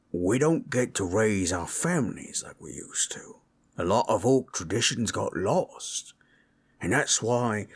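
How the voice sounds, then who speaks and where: A man playing a big, gentle male orc, a former war boss, in an intimate, soothing audio roleplay with folksy, reassuring speech. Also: lowish voice, slightly rough, full character voice. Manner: folksy, nonstandard grammar, reassuring murmur.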